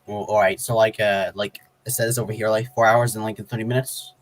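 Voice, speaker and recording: Male chat guest, lowish voice, informal, hesitant and halting. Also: level tone, a little hiss or hum. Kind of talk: casual chat.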